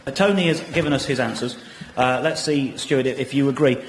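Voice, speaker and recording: A man's voice: medium pitch, fairly quick, slight background noise, poor audio. A man presenting a comedy show on the radio, recorded live.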